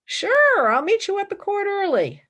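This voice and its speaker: A woman acting in a radio play as an idealistic, exacting young philosophy student, earnest and expressive. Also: extremely high pitch, normal speed, heavily acted. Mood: bright, playful.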